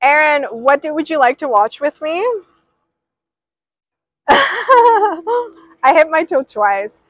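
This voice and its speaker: A woman's voice: high-pitched, sing-song, slow pace, fairly bright tone, dry recording. A young woman livestreaming outdoors by a pool, rambling casually and reading out viewers' names. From Persian.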